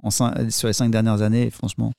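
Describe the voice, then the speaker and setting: A man improvising an awkward, well-meaning office colleague who speaks with halting restarts in a comedy sketch. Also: on the low side, flat delivery, creaky, dark tone, no room sound, clean studio sound. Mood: awkward, warm.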